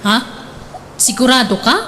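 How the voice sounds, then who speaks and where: A woman's voice: a little high, steady tone, normal speed, pushed and bright, a little hiss or hum. An actress playing a fussy, demanding young girlfriend in an Ilocano comedy radio drama, with a Filipino Ilocano accent. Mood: questioning.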